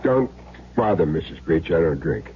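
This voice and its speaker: An American actor playing a cool, menacing hired hitman in a 1970s radio drama, with clipped, sardonic, deliberate delivery. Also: low-pitched, big pitch swings. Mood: polite, restrained. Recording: muffled, hissy 1970s radio broadcast recording.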